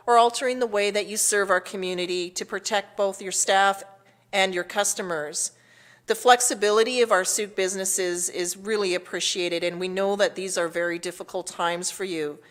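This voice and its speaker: Canadian woman giving a formal official address. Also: mid-range voice. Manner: measured, official, reading out updates. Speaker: mayor. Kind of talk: formal scripted public address.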